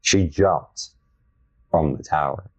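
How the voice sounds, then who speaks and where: A young man with a low voice co-hosting a casual podcast chat, an enthusiastic horror fan who rambles a little. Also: slow, husky, dry recording. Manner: enthusiastic, self-described horror fanatic, rambling. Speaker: podcast co-host. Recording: dry, dull lo-fi sound.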